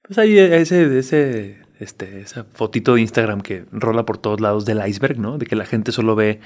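A Mexican actor in his forties chatting in an interview, relaxed and frank, with slang and frequent tag questions. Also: lowish voice, normal speed. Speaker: actor and guest. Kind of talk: casual interview chat.

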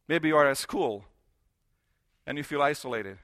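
High, forceful preacher. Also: male voice, lively intonation, moderate pace. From Romania. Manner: emphatic, building with repeated phrases and rhetorical questions. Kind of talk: sermon.

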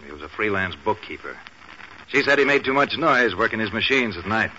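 Fast-talking, surly American detective. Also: male voice, lowish voice, animated, bright, forceful tone. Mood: surly, defensive. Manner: gruff, curt, taunting. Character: surly, smug male big-city homicide detective. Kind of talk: 1940s radio acting. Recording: muffled, faintly noisy 1940s radio recording.

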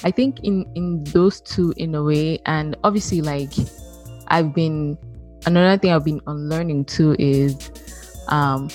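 A young woman from Nigeria co-hosts a podcast with a low, easygoing voice. She hedges and pauses often with "um" and "like". Faint music sits behind her. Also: fairly even intonation, a little mellow. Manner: easygoing, hesitant, with many "um"s and "like"s. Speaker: podcast co-host. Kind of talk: casual chat.

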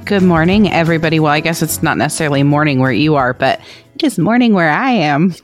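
A woman's voice: low-pitched, lively intonation, quick pace, faint music behind. A woman hosting a podcast, greeting listeners and introducing a guest in an upbeat, chatty way. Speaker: podcast host.

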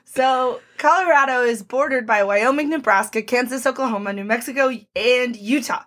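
A woman with a slightly hoarse voice, co-hosting a casual podcast, joking with puns and reading out background facts. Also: fairly high pitch, normal speed, a bit bright. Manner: playful, punning, reads lists of facts aloud. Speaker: podcast co-host.

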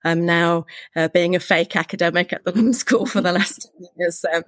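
Woman w a lowish voice, chatty podcast guest, dry sound. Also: steady tone, fairly quick, no room sound. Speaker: guest professor. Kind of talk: conversational podcast answer.

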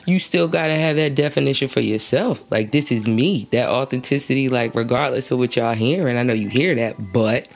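A woman's voice: very deep voice, brisk, gravelly, few pauses. A woman hosting a casual interview, chatty and slangy, asking long, rambling questions and laughing easily. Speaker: interview host.

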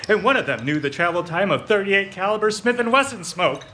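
A man's voice: high-pitched, lively intonation, brisk, bright, forceful tone. A man acting a put-upon detective's sidekick who turns coolly menacing, with a theatrical, sardonic delivery, in a hard-boiled comic audio drama. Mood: mocking, giddy. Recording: clear background noise with clicks.

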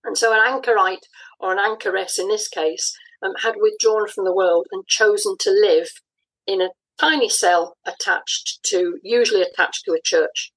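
A woman speaking as an interview guest, explaining things conversationally in a measured, reflective way with pauses between phrases. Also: medium pitch, fairly even intonation, slightly rough, poor audio. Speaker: interview guest, audio dramatist.

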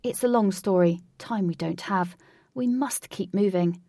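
A woman voicing a calm, unsympathetic male team leader with a curt, businesslike manner, in a story. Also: lowish voice, a little fast, husky, no echo, studio quality.

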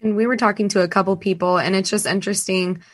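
A woman with a raspy voice, chatting enthusiastically as a podcast guest and laughing often. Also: mid-range voice, fairly even intonation, fast. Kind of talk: casual podcast chat.

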